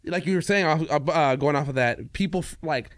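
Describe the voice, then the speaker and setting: A man's voice: fairly high pitch, fairly quick, no room sound, clean studio sound. A man co-hosting a casual podcast, upbeat and encouraging toward his guest. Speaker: podcast co-host.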